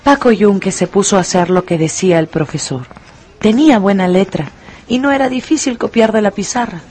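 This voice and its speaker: A woman narrator telling a story for a radio drama in a measured, expressive way. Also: mid-range voice, breathy edge.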